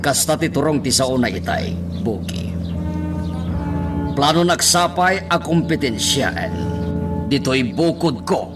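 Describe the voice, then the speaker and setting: A man's voice: mid-range voice, sing-song, takes it slowly, pauses often, over loud music. A man acting as a proud, stern local boss guarding his turf in an Ilocano radio drama. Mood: grim, resolute.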